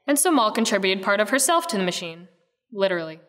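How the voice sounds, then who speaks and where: A woman playing a wry, measured narrator in a radio play, reading like a storybook. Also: mid-range voice, sing-song, fairly bright tone, long reverb tail. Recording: noisy recording with clicks.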